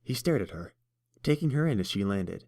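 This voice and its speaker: A man narrating a fan fiction audiobook in a steady, measured storytelling voice. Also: fairly low pitch, background noise.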